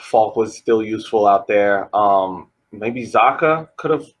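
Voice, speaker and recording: A man co-hosting a podcast, laying out soccer analysis in measured, complete arguments. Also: lowish voice, expressive. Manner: measured, argues in full sentences. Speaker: podcast co-host.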